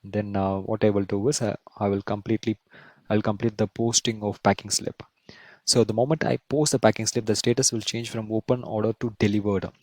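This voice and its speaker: Male tutorial presenter, lowish voice, talking through steps as he goes, rephrasing often, recorded dry and close. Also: fairly even intonation, a little fast, darkish tone, no echo. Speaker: software instructor. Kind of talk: screen-share tutorial, explaining step by step.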